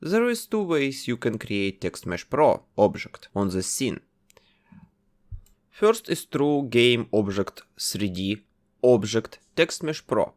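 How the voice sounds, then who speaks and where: A man narrating a step-by-step software tutorial, explaining each action methodically as he goes. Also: mid-range voice. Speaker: tutorial host.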